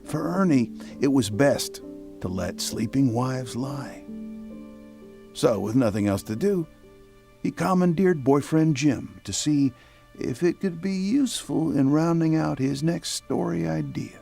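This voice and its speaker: Measured, wry male narrator. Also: mid-range voice, gravelly, mellow, soft background music. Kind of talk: scripted radio drama narration.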